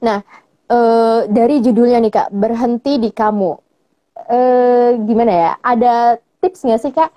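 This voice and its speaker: Girl host, kinda high voice, chatty casual questions. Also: a little slow, dark tone. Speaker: interviewer, host.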